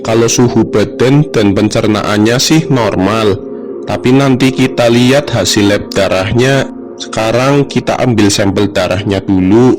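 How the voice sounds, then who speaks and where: A man's voice: on the low side, measured pace, over music, lightly acted. A man voicing a polite cartoon doctor. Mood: professional. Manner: polite, matter-of-fact.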